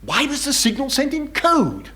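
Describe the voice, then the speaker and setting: An actor playing a languid, dryly mocking naval officer in a radio sitcom. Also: male voice, very high-pitched, huge pitch swings, normal speed, sharp tone, exaggerated voice. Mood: concerned. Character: languid, flippant upper-class British naval officer. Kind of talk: British radio sitcom acting.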